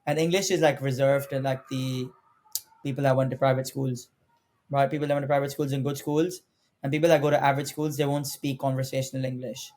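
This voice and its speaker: A man's voice: mid-range voice, brisk. A young man from Pakistan whose first language is Urdu, a podcast guest talking energetically and persuasively about careers. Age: young adult. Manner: energetic, persuasive, talks directly to viewers. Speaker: guest, former international student. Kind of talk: casual podcast interview.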